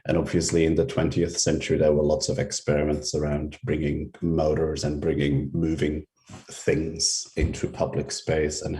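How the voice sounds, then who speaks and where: A middle-aged man from Belgium, a researcher, giving a conference presentation over a video call, relaxed and hesitant with frequent ums. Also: very deep voice. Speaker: researcher and symposium speaker.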